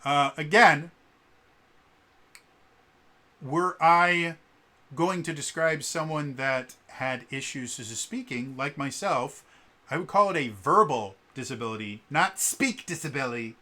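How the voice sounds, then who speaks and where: Bright-voiced man with a stutter. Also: fairly high pitch. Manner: halting, many fillers and repeated sounds. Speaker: vlogger. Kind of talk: casual spoken commentary to camera.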